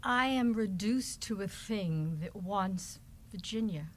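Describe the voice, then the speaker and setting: Lovelorn woman writer played by a woman, slow delivery, staged love letter, faint noise. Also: medium pitch, lightly acted. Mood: longing. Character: lovelorn female writer longing for her beloved. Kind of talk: readers theater love letter.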